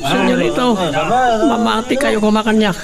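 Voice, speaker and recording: Scared pleading henchman, very high creaky male voice, radio drama, distant. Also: flat delivery, moderate pace. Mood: fearful pleading. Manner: pleading, cowering. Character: frightened, pleading male underling protesting innocence. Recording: off-mic, loud crowd-like background.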